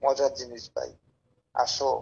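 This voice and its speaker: A Bangladeshi man making an informal phone sales pitch in a pushy, persuasive, repetitive way. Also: flat delivery, measured pace, breathy edge, lo-fi sound. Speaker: caller pitching a service as a tout.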